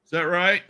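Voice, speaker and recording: Male livestream host, very sharp bright tone, rambling jokey chat, halting delivery. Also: high voice. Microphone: video call stream.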